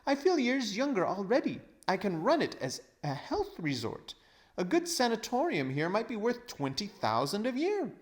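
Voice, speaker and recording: A man voicing a vain, greedy old gentleman in his sixties for a storybook, pompous and grandly exclamatory. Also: high-pitched, huge pitch swings, moderate pace, pitched above the performer's own voice. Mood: gleeful, scheming. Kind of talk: storybook read-aloud character voice.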